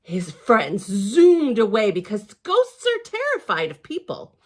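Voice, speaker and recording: Slow, very animated woman storyteller, high voice. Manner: theatrical and expressive, with gasps and hushed asides. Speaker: children's library storyteller. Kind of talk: picture-book read-aloud with chatty asides to young children.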